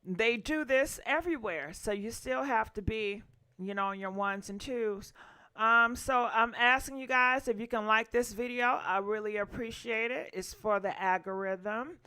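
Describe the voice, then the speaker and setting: A woman's voice: a little high, a little slow, bright, forceful tone, clear, resonant tone. A woman hosting a casual call-in show, chatty with many fillers as she prompts her callers. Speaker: host.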